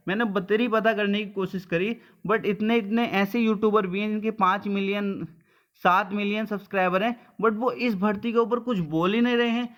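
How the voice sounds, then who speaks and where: Male coaching teacher, high-pitched voice, informal, blunt, colloquial, frequent check-in tags. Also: a bit bright. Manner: repeatedly checks understanding with short tags. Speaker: coaching teacher giving exam updates. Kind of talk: informal exam-update talk to students.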